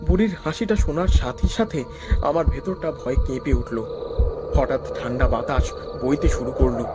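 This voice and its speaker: A man's voice: fairly high pitch, lightly acted. A man playing a wary, curious young hero who also narrates a horror cartoon dramatically.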